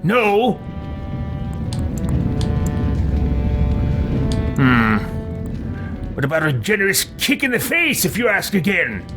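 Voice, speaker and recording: A man's voice: high voice, big pitch swings, normal speed, music in the background, voice pushed up. A man roleplaying a haughty, cruel fantasy adventurer in a video game, with a pompous, menacing delivery. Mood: contemptuous, threatening.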